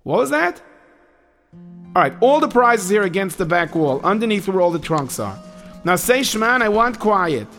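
A stern but caring camp counselor who keeps order and scolds with authority. His voice is fairly high and very lively in pitch. Light music runs behind him in a children's audio drama. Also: normal speed, full character voice. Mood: firm.

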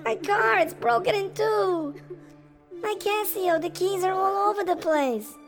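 Excitable young camp boys who tease each other and panic easily, voiced by a woman. The voice is very high and bounces up and down in pitch. Music plays clearly underneath, as in a children's audio drama. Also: moderate pace. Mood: panicked.

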